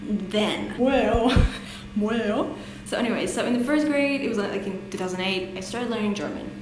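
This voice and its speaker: A woman's voice: mid-range voice, normal speed. A young woman whose first language is Hungarian, chatting casually to camera in a self-deprecating, rambling way with lots of laughter, recorded in a small bathroom. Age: young adult. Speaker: language-learning vlogger. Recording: echoey bathroom with noisy background.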